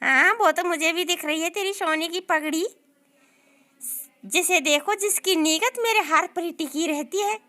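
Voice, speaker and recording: A woman's voice: very high-pitched, bright, forceful tone, heavily acted. A woman voicing a greedy, quarrelsome new bride who nags and complains in a comic sketch. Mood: nagging. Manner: nagging, dramatic, quick to complain.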